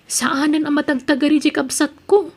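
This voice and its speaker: A woman voicing a sharp-tongued, gossipy woman in an audio drama who talks pointedly about family trouble. Her voice is high and carries easily. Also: steady tone, moderate pace.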